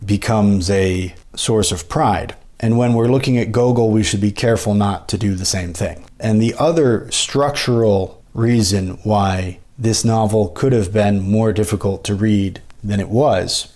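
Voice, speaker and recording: An American man hosting a podcast, giving a measured, analytical literary commentary in an explanatory way. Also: fairly low pitch, a little mellow. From United States. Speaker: podcast host.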